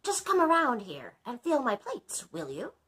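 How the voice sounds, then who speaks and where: A woman's voice: high-pitched, wildly swooping pitch, a little slow, fairly bright tone, breathy edge, voice pushed up, heavily acted. A woman voicing a polite, bossy male iron dragon in a storybook, speaking emphatically and courteously. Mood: eager, coaxing.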